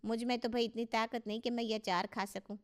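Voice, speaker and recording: A woman's voice: fairly high pitch, fairly even intonation, fairly quick, natural voice. A woman playing a nosy, blunt older mother-in-law in a cartoon story, inquisitive and bossy. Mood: dismissive. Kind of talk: Hindi cartoon story dialogue.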